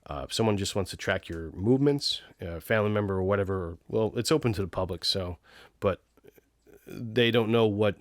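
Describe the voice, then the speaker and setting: Deep-voiced man casually reviewing gear. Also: moderate pace, no echo, clean studio sound. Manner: informal, explanatory, technical. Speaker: video host reviewing radios. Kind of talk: casual product review talk.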